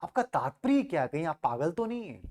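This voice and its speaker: A man's voice: fairly high pitch, big pitch swings, fast, darkish tone. A man voicing a puzzled, indignant young prince in a moral story, questioning with emphatic disbelief. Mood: puzzled, challenging.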